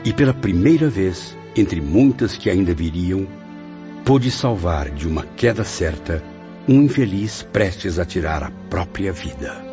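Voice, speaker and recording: A man's voice: low-pitched, animated, a little slow. A man narrating a radio drama from a script in a solemn, formal, grandiose manner. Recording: muffled radio play over music.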